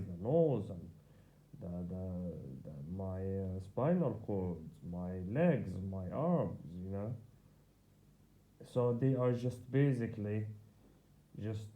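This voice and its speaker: A tired man whose first language is Arabic, talking in a halting, repetitive way full of fillers and restarts, with bursts of swearing as he records a personal video diary. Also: lowish voice, narrow, tinny audio. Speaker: vlogger.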